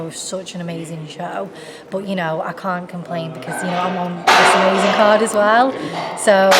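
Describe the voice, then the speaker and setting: A woman's voice: on the low side, heavy background noise. A young woman boxer from Warrington with a Northwest English accent, chatting in an interview and laughing often between answers. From Warrington, England. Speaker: professional boxer.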